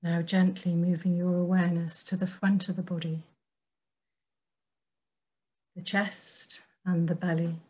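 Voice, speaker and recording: Husky woman leading a guided meditation. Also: on the low side, flat delivery. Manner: gentle, unhurried phrasing with long pauses. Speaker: meditation teacher.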